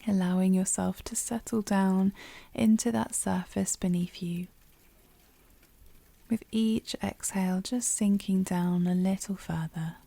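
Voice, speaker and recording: A woman leading a guided yoga nidra meditation, speaking softly and soothingly with a breathy voice that often drops near a whisper. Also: mid-range voice, fairly even intonation, no echo. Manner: soothing, gentle, unhurried pauses.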